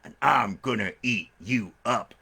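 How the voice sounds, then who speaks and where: Menacing storybook bear played by a man, greedy and unhurried, with a gravelly edge. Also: on the low side, sharp tone, heavily acted. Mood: greedy menace. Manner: slow, drawn-out, relishing menace. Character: hungry menacing male bear guarding a bridge.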